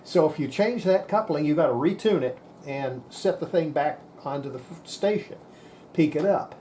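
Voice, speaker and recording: A man's voice: fairly high pitch, animated, normal speed, mellow, slight background noise. A man giving an informal hands-on technical explanation, plain-spoken and folksy, thinking aloud as he demonstrates equipment. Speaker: presenter demonstrating radio equipment.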